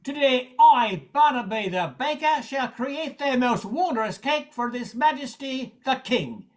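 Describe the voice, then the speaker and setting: A woman's voice: high-pitched, expressive, measured pace. A woman playing a proud village baker in an old-time radio comedy, speaking in a mock-archaic, declamatory manner. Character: proud male village baker, grandly instructing his apprentice.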